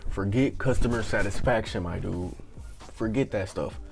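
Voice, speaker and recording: A young man with a low voice that's slightly raspy from just waking up riffs in loose, slangy, joking commentary. He speaks into an earbud headset mic in a small room. Faint music plays underneath. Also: normal speed. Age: young adult. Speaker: reaction channel host. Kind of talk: casual reaction commentary.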